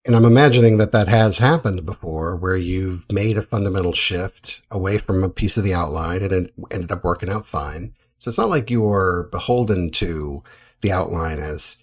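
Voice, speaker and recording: A man's voice: deep voice, normal speed. A man, chatting as a podcast host in a conversational interview.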